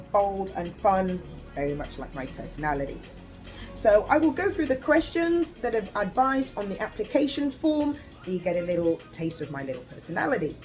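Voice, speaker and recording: A woman's voice: mid-range voice, a little mellow. A woman in her forties from the United Kingdom, an English teacher introducing herself and answering interview questions unscripted, with fillers and restarts. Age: 40s. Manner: unscripted, with frequent fillers and self-corrections.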